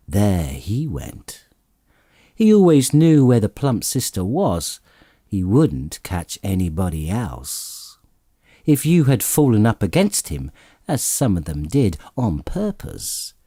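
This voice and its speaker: A man narrating a classic story as an audiobook, reading in an expressive, theatrical way with dramatic emphasis. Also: on the low side, no room sound, studio quality.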